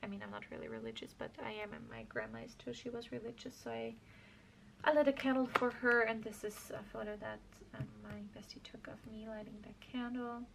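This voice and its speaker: Young female crafter from Austria, chatty and meandering, gravelly fry, faint background noise. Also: a little high, lively intonation, fairly quick. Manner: rambling, self-correcting, chatty. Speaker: craft vlogger. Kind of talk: casual scrapbook walkthrough.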